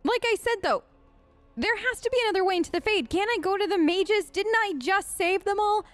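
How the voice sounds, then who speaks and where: A woman's voice: very high-pitched, fairly quick, dry recording, faint music behind. A woman playing through a role-play game, voicing her choices in a casual, chatty and informal way. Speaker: let's play streamer.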